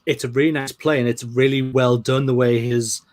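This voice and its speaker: Relaxed guy on a podcast, steady easy recap talk. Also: medium pitch, normal speed. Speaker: podcast co-host. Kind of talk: casual chat.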